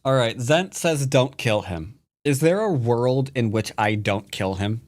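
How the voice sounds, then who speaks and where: A man's voice: medium pitch, animated, unhurried. A man from the United States commenting live on his own Go game, playful and teasing toward his opponent. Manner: playful trash talk. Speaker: streamer and Go teacher.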